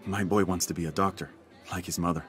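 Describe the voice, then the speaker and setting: A middle-aged man playing an anxious, grateful working-class village father in a video game cutscene, speaking in a halting, earnest way. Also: deep voice, steady tone, fairly bright tone.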